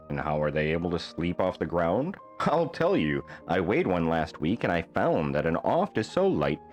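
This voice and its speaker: A man reading a rhyming children's bedtime story aloud in a playful, theatrical manner with deliberate phrasing. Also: sits low. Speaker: storybook reader.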